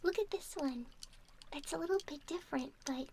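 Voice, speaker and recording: A woman playing an excitable, sweet young mermaid in a soft, breathy, gushing ASMR roleplay. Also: high voice, normal speed. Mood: bubbly, reassuring. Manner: gushing, apologetic, easily distracted. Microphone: 3Dio binaural microphone, close. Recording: close binaural mic with background ambient noise.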